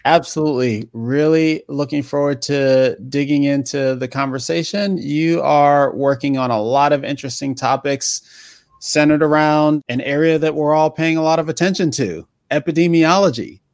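A man's voice: fairly high pitch, moderate pace, dry recording. Male podcast host, dry sound. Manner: conversational, hesitant questions with restarts. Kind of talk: interview podcast.

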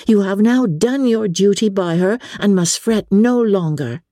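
A woman voicing a brisk, witty young sister from a classic novel, assured and wry, reasoning in formal period speech. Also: medium pitch, expressive, mellow, dry recording, studio quality, pitched above the performer's own voice, natural voice. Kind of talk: dramatic audiobook reading.

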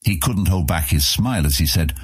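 A man narrating a scripted fantasy audiobook in a measured, dramatic manner. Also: low voice, animated, slight background noise.

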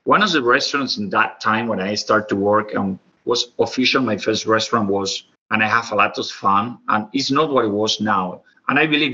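Argentine guy in his 40s, spanish accent, lowish forceful voice, chatting in loose non-native english, bit lo-fi. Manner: expressive, informal, non-native English grammar. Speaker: professional chef, interview guest. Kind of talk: conversational interview storytelling.

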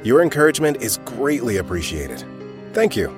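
A man's voice: mid-range voice, big pitch swings, brisk. Man reading podcast credits over music. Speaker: announcer.

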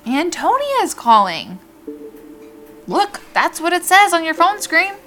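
An actress playing a chatty, nosy small-town diner waitress in an audio drama, with a folksy, familiar way of talking. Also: female voice, very high-pitched, big pitch swings, takes it slowly, bright, forceful tone, faint music behind, heavily acted.

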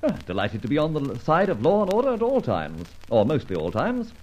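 An actor playing a witty, debonair gentleman adventurer in an old-time radio drama. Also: on the high side, huge pitch swings, brisk. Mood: breezy, teasing. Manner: debonair, flippant, bantering. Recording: dull old radio recording.

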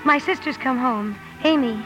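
A woman plays an independent, passionate young writer in a vintage radio play. Her voice is fairly high and earnest, full of feeling, with music in the old broadcast. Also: normal speed, lo-fi sound, close to an everyday voice. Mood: urgent, excited. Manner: earnest, emotional. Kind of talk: 1950s radio drama acting.

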